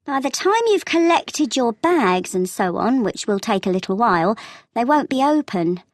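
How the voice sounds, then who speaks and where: A high female voice with very animated intonation, recorded close and dry. A woman acting as a helpful travel agent in an exam listening dialogue, explaining details in a clear, measured way. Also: dry recording, lightly acted. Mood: helpful, thoughtful. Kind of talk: scripted language-test dialogue acting.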